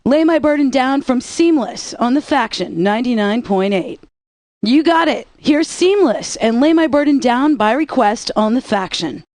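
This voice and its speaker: A snarky rock station DJ, quick with a put-down. The voice sits a little high, close-miked and bone dry in a game studio. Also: female voice, animated, a little mellow, dry recording. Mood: sassy. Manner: teasing, dry and sarcastic. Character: sassy snarky woman rock radio DJ. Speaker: radio DJ. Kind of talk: scripted game radio DJ banter.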